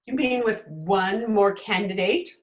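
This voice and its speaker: A woman's voice: mid-range voice, measured pace, close to an everyday voice. A woman playing a vain, ambitious companion of a dictator, blunt and coquettish, in an old-time radio comedy. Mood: blunt, dismissive. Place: home room, recorded over video call.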